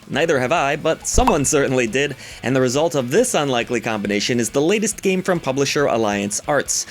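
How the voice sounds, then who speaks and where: A man delivers scripted narration for a video game review in a mid-pitched voice. His intonation is lively, rising and dropping often across phrases. Music plays underneath.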